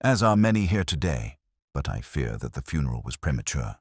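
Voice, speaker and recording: A man's voice: a bass voice, very animated, a little fast, slightly rough, no echo, studio quality, exaggerated voice. Man reading as a severe, refined Victorian widow. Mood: gracious, formal. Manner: formal, direct, restrained.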